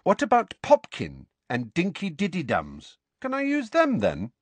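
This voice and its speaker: A defiant teenage boy, acted by a man narrating a storybook. He needles with cocky sarcasm, his pitch raised and swinging widely. The recording is close and dry. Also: sing-song, normal speed, dry recording, close to an everyday voice. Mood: mocking. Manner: taunting, sarcastic.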